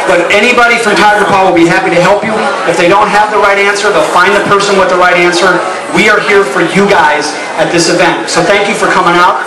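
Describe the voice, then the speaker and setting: A man, a company president, giving a casual, jokey welcome speech over a handheld mic at a crowded indoor party. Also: high voice. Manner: upbeat, rambling, jokey with the audience. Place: crowded bar with big screens, indoors. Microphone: handheld mic, heard through loud speakers, filmed from a distance. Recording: echoey room, far from camera, crowd noise.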